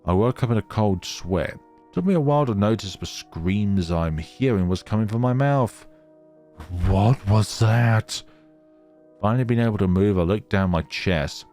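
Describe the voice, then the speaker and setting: A man narrates a horror visual novel in a fairly low voice, taking his time. His delivery is theatrical and halting, broken by casual asides. The sound is dry, with faint music behind him. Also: expressive, a little mellow. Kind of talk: reading game narration aloud dramatically, with casual asides.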